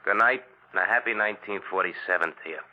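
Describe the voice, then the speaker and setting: An actor playing a tired, gruff bartender in a 1940s radio drama. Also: male voice, low-pitched, fast, natural voice. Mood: weary. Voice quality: weary, matter-of-fact. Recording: thin, tinny old radio broadcast.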